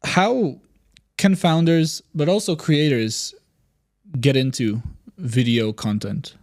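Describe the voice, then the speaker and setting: A man's voice: fairly high pitch, a little mellow. Guy cohosting a podcast, asks long winding questions, some ums. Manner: measured, with fillers, builds long questions. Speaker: podcast co-host, colleague asking questions.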